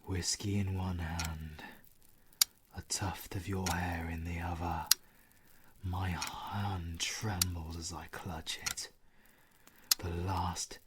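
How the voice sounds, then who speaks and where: Male actor for British werewolf villain, audio drama: grief-stricken, powerful, anguished half-whispers, drawn-out delivery, noisy recording. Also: deep voice. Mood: mournful, hushed. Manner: slow, theatrical, anguished, often breathy and half-whispered. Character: grieving male werewolf villain, bitter and powerful, mourning his mother. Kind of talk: scripted audio roleplay monologue.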